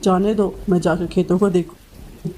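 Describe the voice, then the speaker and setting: A woman's voice: on the low side, steady tone, unhurried, dark tone, natural voice. A woman voicing a worried poor farmer father pigeon in a cartoon, earnest and fretful. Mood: concerned, insistent. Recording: noisy, rain-like storm sounds behind. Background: rain and thunder.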